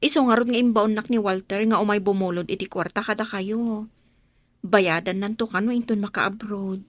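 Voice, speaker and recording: A woman's voice: mid-range voice, fairly even intonation, moderate pace, no echo, close to an everyday voice. A woman playing an anxious young wife and mother in an Ilocano Filipino radio drama, with music behind her. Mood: concerned, deferential.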